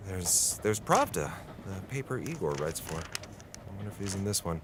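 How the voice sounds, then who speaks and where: An actor with an American accent playing an anguished, indignant reporter abroad in a radio drama, breathless and urgent. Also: male voice, deep voice, sing-song, moderate pace, background noise. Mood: puzzled, uneasy. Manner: breathless, talks to himself, urgent. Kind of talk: radio drama acting with first-person narration.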